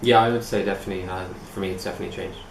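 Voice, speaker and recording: A man's voice: low-pitched, fairly even intonation, racing, vocal fry. A man from Ireland, an English teacher giving hesitant interview answers with many restarts. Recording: echoey room with faint noise.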